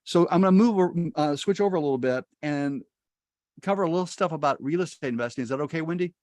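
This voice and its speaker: A man's voice: a little high, fast talker, a little mellow, dry recording, clean studio sound. A middle-aged man, a native Spanish speaker, teaching real estate investing in a folksy, upbeat way over a video call.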